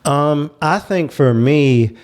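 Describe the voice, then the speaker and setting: A man's voice: a little high, slow, darkish tone. A man speaking as a panelist in a casual discussion, explaining his views in a frank way.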